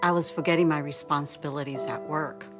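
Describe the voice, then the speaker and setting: A woman's voice: low voice, steady tone, a little fast, natural voice. A woman playing a troubled mother in a scripted testimonial ad about herself. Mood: subdued. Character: troubled mother facing memory loss in an awareness ad. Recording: music behind voice.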